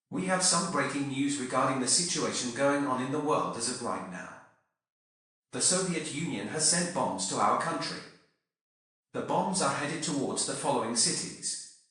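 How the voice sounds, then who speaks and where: A man reading a formal, measured emergency broadcast announcement. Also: mid-range voice, expressive, pushed and bright. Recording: heavily echoing reverb.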